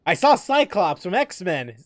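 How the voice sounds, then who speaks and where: A man's voice: sits high, sing-song, slow, no room sound, clean studio sound. A man giving casual, irreverent, jokey commentary as he plays a game. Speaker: gaming streamer. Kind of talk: casual joking commentary on gameplay.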